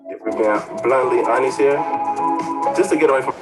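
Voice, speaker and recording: A young man with an ordinary, mid-range voice talks in a relaxed, joking way. He sounds like a student helper speaking to high schoolers, while music plays loudly underneath. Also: moderate pace. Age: young adult. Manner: playful, joking. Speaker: junior college advocate. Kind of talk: casual scripted intro and Q&A. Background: background music.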